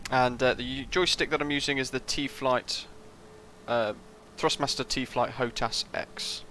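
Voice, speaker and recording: A man's voice: mid-range voice, steady tone, unhurried, bright, forceful tone, slight background noise. A young British man casually commenting on a live flight sim stream, rambling with lots of fillers and answering chat.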